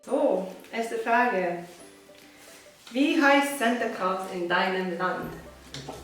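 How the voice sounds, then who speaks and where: Slow-talking Hungarian woman, echoey room. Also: mid-range voice, lively intonation, soft background music. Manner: halting, searching for words, laughing often. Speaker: host. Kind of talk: casual chat. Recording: echoey room, mic at a distance.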